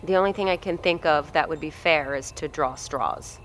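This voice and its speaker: A woman's voice: deep voice, normal speed, fairly bright tone, a little hiss or hum. Woman as a blunt research lab chief, swears a lot, commanding, audio drama. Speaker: facility head.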